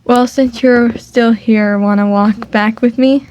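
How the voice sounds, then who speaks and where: A woman voicing a flat deadpan toy-like girl. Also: on the high side, slow pace. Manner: flat, dry, matter-of-fact. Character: deadpan unimpressed toy-like character, she. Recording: dull lo-fi dry home mic.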